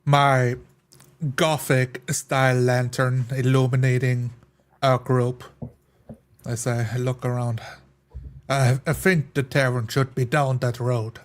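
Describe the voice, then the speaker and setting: A man with a mid-pitched voice plays a fantasy roleplay. He speaks very slowly and evenly, describing his actions in halting phrases. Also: level tone. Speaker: tabletop roleplay player.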